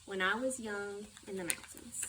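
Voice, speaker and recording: A woman's voice: medium pitch, moderate pace, sharp tone. A woman reading a children's story aloud. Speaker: school superintendent reading a children's book. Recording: distant microphone with background noise.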